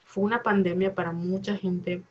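A woman's voice: lowish voice, steady tone, moderate pace, highs cut off. A woman in her twenties with a Mexican accent, chatting casually and rambling, full of slang and fillers. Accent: Mexican Spanish. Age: 20s. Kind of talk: casual chat.

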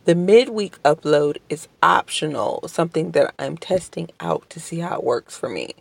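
A woman hosting a casual solo podcast from home, under the weather with a strained voice, talking slowly with many hesitations and apologies. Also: deep voice, animated, creaky, no room sound. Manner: slow, hesitant, many ums and restarts; polite and apologetic. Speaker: podcast host. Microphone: home podcast microphone.

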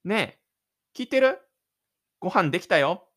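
A man's voice: sits high, big pitch swings, normal speed, fairly bright tone, voice pushed up, full character voice. A man voicing a teenager's stern, exasperated mother in an audio drama. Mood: calling out.

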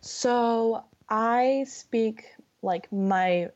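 A woman's voice: a little high, a little mellow. Young californian woman, friendly guest, lots of ums, kinda muffled lo-fi audio. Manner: friendly, fillers and laughs, deliberate. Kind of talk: casual conversation. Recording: dull lo-fi sound.

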